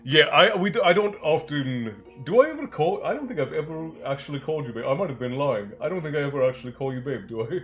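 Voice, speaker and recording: A man's voice: a little high, animated, quick pace, few pauses. A man hosting a livestream in casual, joking chat, greeting viewers by name, still a bit congested. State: congested, with a bit of a chesty cough. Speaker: livestream host. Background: soft background music.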